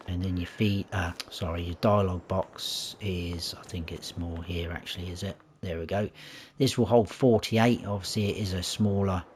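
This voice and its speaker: A fairly low-voiced man with a croaky throat talks viewers through a farming game map. He chats casually and corrects himself as he goes, and there is a faint hum of game ambience behind him. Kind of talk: casual gameplay tour commentary.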